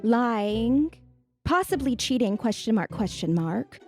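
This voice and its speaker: A woman playing a tabletop game, reading a list out loud to the others. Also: fairly high pitch, expressive, slow, soft background music.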